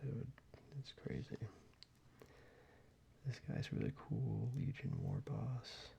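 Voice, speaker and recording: Gravelly soft-whispering man. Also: mid-range voice, huge pitch swings, slow, noisy background. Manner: soft-spoken, mostly whispered, casual with fillers. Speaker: ASMR creator. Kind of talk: soft-spoken ASMR card showcase.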